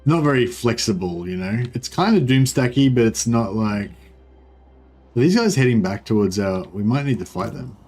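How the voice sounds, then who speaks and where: A man with an Australian accent, chatting in a rambling, relaxed way while commenting on his gameplay stream. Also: medium pitch, moderate pace, husky, faint music behind. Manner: rambling, chatty, self-correcting.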